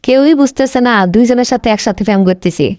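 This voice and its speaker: Fast, high-pitched scheming cartoon girlfriend, played by a woman. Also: dark tone, slight background noise, close to an everyday voice. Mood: smug, scheming. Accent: Bangladeshi. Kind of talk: comic cartoon dialogue.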